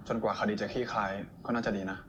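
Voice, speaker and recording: A man's voice: lowish voice, fairly even intonation, rapid-fire, lightly acted. An actor playing a man in a Thai drama series, heard in muffled, noisy playback. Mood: guarded, earnest. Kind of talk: screen drama acting.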